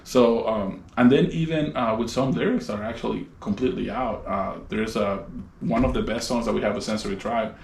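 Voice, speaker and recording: A man whose first language is Spanish, talking casually about his music as an interview guest at home, relaxed and laughing often. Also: on the low side, a little fast, creaky. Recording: echoey room with faint noise.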